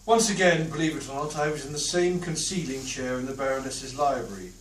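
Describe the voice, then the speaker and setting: A man's voice: mid-range voice, fairly quick, slight background noise, close to an everyday voice. A man narrating in a play reading, wry and storytelling. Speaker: amateur actor in a play reading. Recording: roomy theatre from far off.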